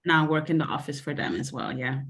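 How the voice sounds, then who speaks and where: A woman's voice: sits low, steady tone, a little fast, fairly bright tone. A young woman from Belgium answering interview questions about her sports coaching, talking hesitantly with many fillers. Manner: hesitant, many ums and likes. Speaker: sports coach and mentor, guest.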